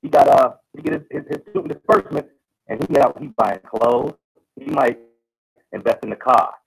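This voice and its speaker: Raspy, gravelly, animated American man. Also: mid-range voice, normal speed, poor audio. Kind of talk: casual chat.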